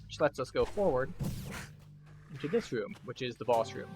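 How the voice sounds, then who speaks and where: A man's voice: mid-range voice, expressive, moderate pace, over music. A man giving a casual walkthrough commentary as he plays a video game. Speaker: gameplay guide and streamer.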